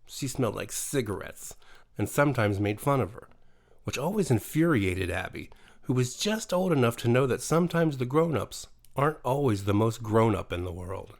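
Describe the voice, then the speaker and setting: A man's voice: medium pitch, expressive, normal speed. A man narrating a horror bedtime story in a dramatic storytelling style. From USA. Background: music.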